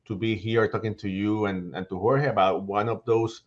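A man, somewhat low-voiced, speaking in an animated way with lots of fillers and self-corrections. His voice comes through a headset on a video call. The sound is a bit dull and lo-fi. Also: moderate pace. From Puerto Rico. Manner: animated, frequent fillers and restarts. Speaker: guest author and baseball writer. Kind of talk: casual video call interview. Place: home room. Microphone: headset microphone on video call. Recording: slightly dull, lo-fi call audio.

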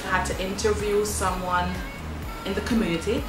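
A woman's voice: medium pitch, steady tone, a little fast. A woman from the Cayman Islands, an air traffic controller, giving a personal account in an interview. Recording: roomy echo. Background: background music.

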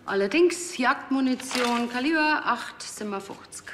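An actress playing a matter-of-fact forensic pathologist with a dryly teasing manner in a TV crime comedy. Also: female voice, a little high, expressive, unhurried, noisy background.

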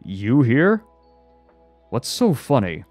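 A man's voice: medium pitch, wildly swooping pitch, slow, darkish tone, dry recording, soft background music, pitched above the performer's own voice. A man voicing a sardonic, hot-tempered male mathematician in an audiobook, brusque and demanding. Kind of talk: audiobook character voice.

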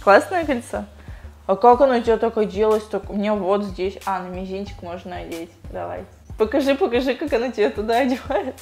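A bubbly young woman chatting away at a middle pitch, teasing her pet and addressing viewers directly. She sits in a small tiled home bathroom with a clip-on mic, light music playing underneath. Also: a little fast, a little mellow. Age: young adult. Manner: chatty, playful, talks to her pug and viewers. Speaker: vlogger. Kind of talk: casual vlog chat unboxing toys. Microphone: small lapel mic.

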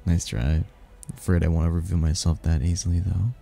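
A man's voice: sits low, steady tone, normal speed, vocal fry, dark tone, faint music behind. A man playing a charming, teasing mysterious stranger at a royal ball in a romantic ASMR roleplay, speaking in a playful, flirtatious way.

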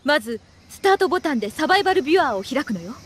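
A woman voicing a composed, firm medic in a video game, giving clear scripted instructions to a wounded soldier. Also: high voice, big pitch swings, rapid-fire, a little hiss or hum. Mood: calm, instructive.

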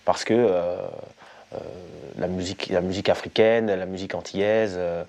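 A man in his thirties with a very deep, low voice, speaking calmly and steadily with fillers. He sits in a music studio. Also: normal speed. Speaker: singer, composer and producer. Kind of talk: interview talk. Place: music studio in front of an amp.

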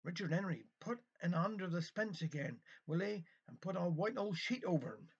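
A homely, bustling Cornish mother, put on by a man reading a tale. The dialect is broad and the voice husky, on a dull recording. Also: high-pitched, expressive, normal speed, voice pushed up, heavily acted. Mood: bossy. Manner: bustling, welcoming, dialect-heavy. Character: homely, brisk Cornish mother hosting tea.

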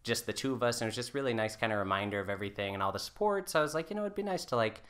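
A man's voice: medium pitch, lively intonation, racing, a bit bright. A man in his twenties chatting casually as a guest in an interview. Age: 20s. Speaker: podcast guest, folk musician.

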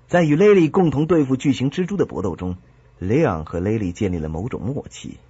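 A man's voice: mid-range voice, animated, brisk, mellow, breathy edge. A man reading scripted dramatic narration as the narrator of a story. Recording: dry, slightly dull studio sound.

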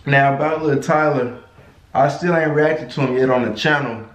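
A young man in his twenties hosting a casual music reaction video from his bedroom, talking in loose slang and breaking into high-pitched exclamations. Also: moderate pace. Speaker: reaction channel host. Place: small bedroom in a gaming chair. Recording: echoey room.

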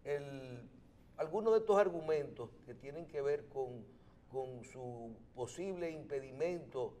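A man with a Dominican accent interviewing on a political show, phrasing questions in a halting, roundabout way with repeated words. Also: medium pitch, lively intonation, mellow.

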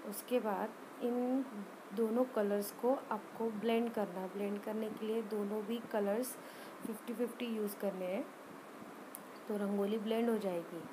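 Woman doing a diy craft voiceover, pretty monotone, halting, noisy homemade recording. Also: medium pitch. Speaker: rangoli art tutorial presenter. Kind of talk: informal step-by-step craft voice-over.

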